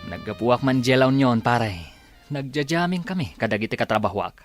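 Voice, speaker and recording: A man's voice: mid-range voice, animated, moderate pace, faint music behind, close to an everyday voice. A man acting as a flustered, evasive boyfriend caught cheating in an Ilocano radio drama. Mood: casual. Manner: evasive, stammering.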